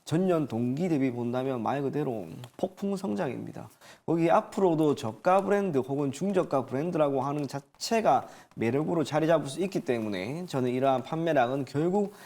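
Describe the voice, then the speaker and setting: A man's voice: a little high, lively intonation, fairly quick, hardly any pauses, a little mellow. A man working as a stock market analyst, giving formal, firm, explanatory commentary.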